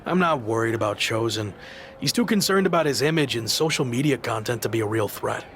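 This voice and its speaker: A determined underdog male wrestler in a video game story. He speaks at a medium pitch, firm, serious and plainspoken. Light noise sits behind the voice. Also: animated, a little fast, fairly bright tone. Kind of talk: video game cutscene acting.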